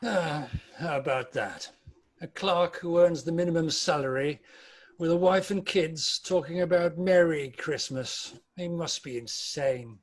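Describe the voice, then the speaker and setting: Miserly old Victorian uncle played by a man, scornful and curt, fairly high male voice, very unhurried delivery. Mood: grumpy, grudging. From United Kingdom. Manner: curt, dismissive, sarcastic. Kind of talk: amateur table read of a Victorian Christmas story.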